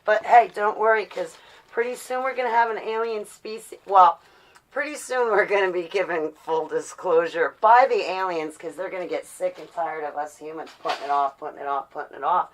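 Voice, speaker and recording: A woman's voice: on the low side, moderate pace, low-quality recording. A woman giving a casual, rambling vlog monologue, opinionated and ranting, prone to swearing and to acting out imagined quotes. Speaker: vlogger.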